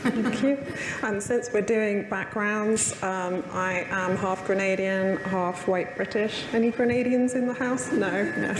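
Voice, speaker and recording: Woman chairing a panel in an echoey hall. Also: mid-range voice. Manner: relaxed, with frequent "um" pauses. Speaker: panel chair and games journalist. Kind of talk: moderating a conference panel. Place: conference hall. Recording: roomy hall with long reverb and background noise.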